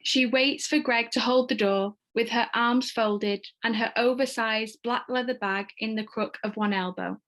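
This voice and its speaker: A woman's voice: fairly high pitch, fairly even intonation, a little slow, hardly any pauses, fairly bright tone. A woman narrating screenplay stage directions at a table read. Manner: reads screenplay action descriptively.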